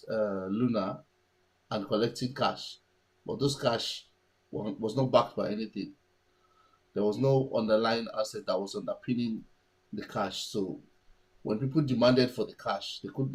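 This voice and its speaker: A man's voice: lowish voice, normal speed, darkish tone, husky, rough recording. A man whose first language is Yoruba, giving casual market commentary and thinking aloud with many restarts and fillers.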